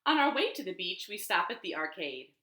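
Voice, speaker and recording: A woman hosting storytime for young children, speaking in an animated, clearly paced way as she shares rhymes and picture books from her living room. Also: medium pitch, brisk. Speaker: children's storytime host at a library. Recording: roomy, echoing home living room.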